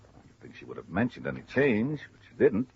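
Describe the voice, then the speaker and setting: An actor playing a talkative, puzzled male insurance man in a 1950s radio drama, chatty and deliberate. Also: mid-range voice, very animated, gravelly. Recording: muffled, noisy old radio recording.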